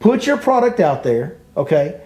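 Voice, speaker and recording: A man's voice: fairly high pitch, very animated, moderate pace, darkish tone. A man hosting a podcast, giving his opinion emphatically and repeating points as he rants. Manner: emphatic, repetitive, ranting. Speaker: podcast host and fishing guide.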